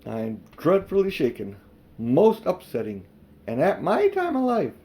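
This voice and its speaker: Man acting elderly gentleman, vain, pompous, wheedling, old-fashioned, highish animated voice, storybook character. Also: unhurried, breathy edge, full character voice. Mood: shaken. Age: older.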